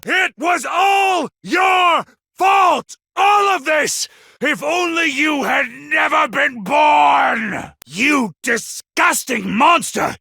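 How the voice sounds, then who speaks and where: An actor voicing a crazed, contemptuous, murderous villain in a theatrical anime game style, with a raspy, gravelly voice and a sneering, dramatic delivery. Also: male voice, bright, forceful tone, no room sound, clean studio sound, exaggerated voice. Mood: enraged screaming. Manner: sneering, unhinged, dramatic swings from low menace to screams.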